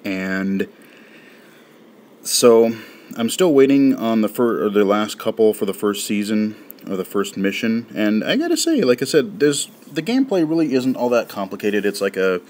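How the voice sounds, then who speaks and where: A man casually talking through an audio game on his phone, relaxed and chatty with plenty of fillers. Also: lowish voice, moderate pace. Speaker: game reviewer. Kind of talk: casual game walkthrough commentary.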